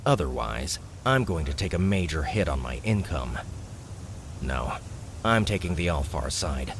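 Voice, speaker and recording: A man's voice: a bass voice, sing-song, unhurried, creaky, background noise, voice pushed down, full character voice. A man voicing a pragmatic male gamer who leads a fantasy party, bossy and dryly grumbling, in an audiobook. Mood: calculating. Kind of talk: audiobook character acting.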